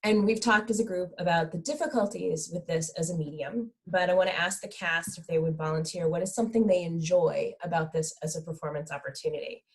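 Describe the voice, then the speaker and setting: A woman's voice: lowish voice, fairly quick, few pauses. A woman hosting an online question-and-answer discussion as its moderator. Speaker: moderator of a theatre talkback. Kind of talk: hosted panel discussion over video call.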